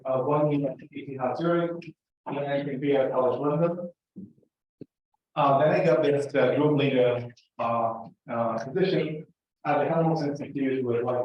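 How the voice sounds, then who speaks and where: Guy w palestinian arabic accent doing an informal lecture, stop and start, far from the mic, super echoey. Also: mid-range voice. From Gaza, Palestine. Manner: conversational, halting, frequently checks in with "right?" and "like". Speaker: professor, invited speaker. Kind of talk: informal academic presentation. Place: indoor lecture room. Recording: very echoey room, distant muffled mic.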